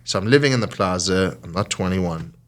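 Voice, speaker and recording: A man with a British accent and a very low voice tells his story in a relaxed conversational podcast. He is animated and drops into quoted lines from other people. He sounds like he is in his early forties. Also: brisk. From South Africa. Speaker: hotel and casino CEO. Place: small podcast studio.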